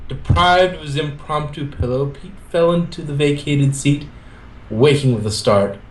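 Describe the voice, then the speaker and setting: A man's voice: fairly high pitch, moderate pace. A man reading fan fiction aloud, switching between scripted narration and character dialogue. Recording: echoey room, amateur recording.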